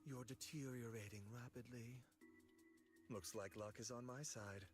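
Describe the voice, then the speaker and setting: An actor playing a callous, gloating villain researcher in a video game, speaking in a grandiose, contemptuous, theatrical way. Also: male voice, mid-range voice, steady tone, unhurried, slightly rough. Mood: gloating, cold. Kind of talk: dramatic video game voice acting. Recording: game music behind. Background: game music.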